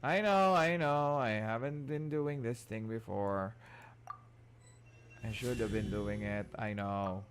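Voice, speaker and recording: Slow-talking streamer with faint music behind. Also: mid-range voice. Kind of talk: casual gaming commentary.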